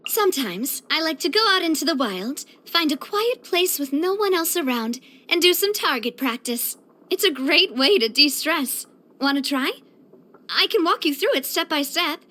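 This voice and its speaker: A young woman voicing a cheerful, elegant young lady leader in a video game, earnest, chatty and expressive. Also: sits high, normal speed. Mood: playful, eager. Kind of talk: video game character voice lines.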